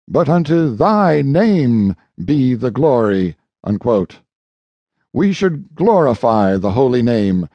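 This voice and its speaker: A man with a dark, mellow voice reads aloud as an audiobook narrator. His delivery is formal and measured, like solemn oratory from a prepared script. The recording is a little dull, with audible room echo. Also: on the high side. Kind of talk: formal scripted reading of a historical speech, oratorical.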